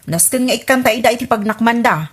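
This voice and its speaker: A woman's voice: on the high side, lively intonation, fast, fairly bright tone, breathy edge. A woman playing a suspicious, resentful neighbor wife with an Ilocano Filipino accent in a radio comedy drama.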